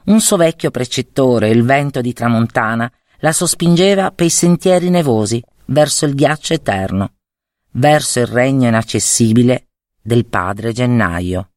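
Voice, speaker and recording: Female fairy-tale narrator: deep register, very lively melody, dry studio-like sound. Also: a little slow, no echo. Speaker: storyteller.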